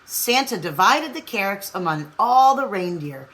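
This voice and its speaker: A woman's voice: medium pitch, expressive, measured pace, fairly bright tone, rough recording. A woman reading a children's story aloud as narrator. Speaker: storybook reader.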